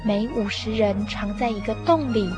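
A woman's voice: medium pitch, moderate pace, music in the background. A woman narrator telling a scripted story for a radio drama.

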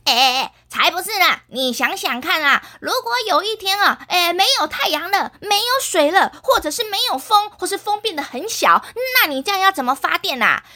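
A woman's voice: very high voice, lively intonation, moderate pace, few pauses, sharp tone, full character voice. A woman voicing a proud male fire-power superhero in a children's story, arguing his way is best. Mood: boastful. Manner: argumentative, boastful, then eager.

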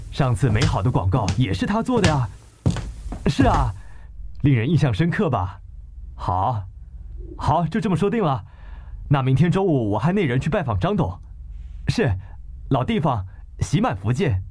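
A man's voice: mid-range voice, background noise. An actor playing a pushy, pragmatic businessman husband with a Taiwanese accent and a glib, persuasive manner in a radio drama. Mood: glib, eager. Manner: glib, persuasive, exasperated when crossed. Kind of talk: Mandarin radio drama acting.

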